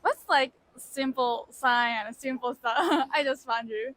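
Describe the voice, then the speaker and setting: High-voiced chatty female interviewer. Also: takes it slowly, a bit bright, no room sound, rough recording. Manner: chatty, laughs often, mixes in Japanese words. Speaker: street interviewer. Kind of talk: casual street interview. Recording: faint street noise.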